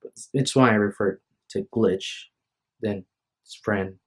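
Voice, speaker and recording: A man's voice: fairly low pitch, slow pace, breathy edge. A tired young man talking casually into his phone at home, rambling and apologetic, often restarting his sentences. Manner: hesitant, apologetic, restarts a lot. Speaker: vlogger. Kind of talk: rambling casual vlog to camera. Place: room at home. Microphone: phone microphone.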